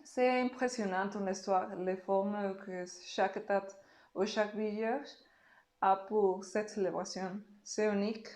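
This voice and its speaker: A woman with a Mexican Spanish accent answers interview questions in a second language. She speaks very slowly and carefully, with pauses. Her voice sounds echoey, as if recorded in a bare room. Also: medium pitch, fairly even intonation, a little mellow. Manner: slow, careful speech with pauses.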